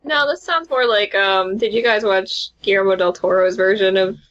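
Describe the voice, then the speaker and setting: A woman's voice: medium pitch, normal speed, a bit bright, highs cut off. A woman on a podcast, chatting casually with a group and sometimes reading aloud. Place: remote group voice call.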